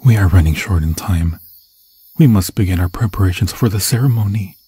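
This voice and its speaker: A man voicing an obsessed, possessive demon lord in an ASMR horror roleplay, husky and breathy, often dropping into a whisper, with grandiose, seductive phrasing. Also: low-pitched, a little fast, warm tone. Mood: commanding, ceremonial. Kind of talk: ASMR fantasy horror roleplay, theatrical monologue. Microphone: close, dry microphone.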